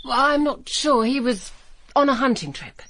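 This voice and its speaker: An actress playing a worldly, flirtatious, wealthy Roman provincial hostess in a radio drama, airy and teasing in manner. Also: female voice, sits high, big pitch swings, normal speed, a bit bright. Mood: coy, airy. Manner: coy, teasing, airy, gossipy.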